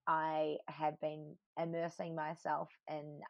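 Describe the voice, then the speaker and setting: A woman's voice: sits low, monotone, slow, vocal fry, dry recording. Woman host, playful and encouraging, dry and somewhat muffled sound. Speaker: podcast host. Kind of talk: casual podcast chat.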